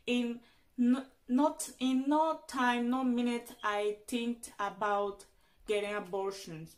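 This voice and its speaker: A young woman whose first language is Portuguese talks straight to her viewers in a personal vlog. Her voice sits a little high. She is earnest and halting, often stopping to restart a sentence as she works through it. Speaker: vlogger.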